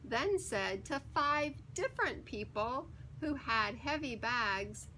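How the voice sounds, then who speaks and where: A woman storyteller with a high, bright voice reads a picture book to young children. She takes her time, leaning on key words and pausing for dramatic effect. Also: lively intonation, slight background noise, low-quality recording. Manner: theatrical, emphatic, with dramatic pauses. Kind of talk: expressive read-aloud for young children.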